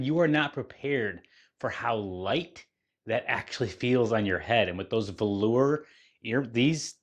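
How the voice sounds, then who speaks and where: Man, mid-pitched voice, product reviewer, upbeat promotional delivery, demo narration.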